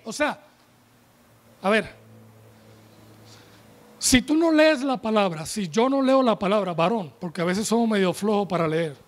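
A man in his forties preaching a sermon from a pulpit, animated and joking as he calls on the congregation, speaking into a handheld microphone. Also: very high voice. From United States. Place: church tent stage with a pulpit.

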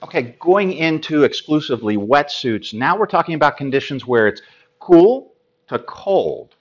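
An American man in his fifties presenting gear as an expert, explaining informally and haltingly to camera. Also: on the high side, sing-song, muffled recording. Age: 50s.

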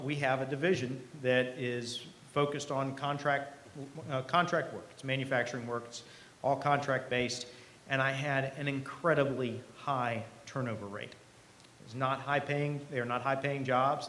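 A middle-aged man giving a conversational keynote speech at a podium microphone, earnest and emphatic, with deliberate pauses. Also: medium pitch, steady tone, a bit bright. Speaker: company president, keynote speaker. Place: event hall stage. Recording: live podium speech with clear background noise.

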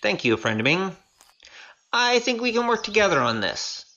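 Casting: quick-witted young swordsman as acted for radio play, wry and persuasive, very animated delivery, faint noise behind. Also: medium pitch, muffled recording. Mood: brisk, friendly. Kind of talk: audio drama acting.

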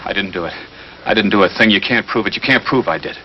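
A man's voice: lowish voice, fast, lightly acted. A man playing a nervous, defensive suspect in a 1950s television crime drama, protesting in agitation. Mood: panicked pleading. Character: nervous, defensive male suspect cornered by police. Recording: dull 1950s TV soundtrack, faint noise.

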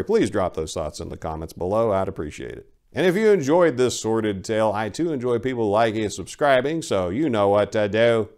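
Animated theatrical man telling stories. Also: medium pitch. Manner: mock-serious, expressive. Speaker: storyteller and host. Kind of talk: theatrical dramatic story reading. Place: small indoor room lined with bookshelves. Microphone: lapel mic.

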